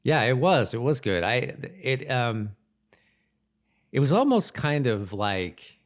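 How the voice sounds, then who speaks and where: A man's voice: mid-range voice, very animated, slow. A man co-hosting a podcast, chatting casually and informally about a TV episode. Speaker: podcast co-host. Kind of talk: casual chat reviewing a TV episode.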